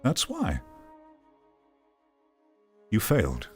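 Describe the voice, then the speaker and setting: Male commentator, low-pitched, blunt and exasperated, casual delivery, music bed underneath. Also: wildly swooping pitch, extremely slow, slightly rough. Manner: blunt, exasperated, repeats phrases for emphasis. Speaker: host giving commentary on game news.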